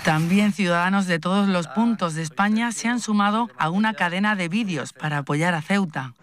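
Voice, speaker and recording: A woman reporter reading a scripted news voice-over in a measured, formal way. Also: fairly low pitch, background noise.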